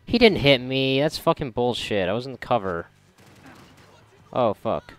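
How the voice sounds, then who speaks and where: A man giving casual, reactive commentary while gaming, sarcastic and foul-mouthed, with a gravelly voice. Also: mid-range voice, lively intonation, measured pace. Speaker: gamer recording a playthrough. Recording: noisy, game gunfire behind voice. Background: video game gunfire and ambience.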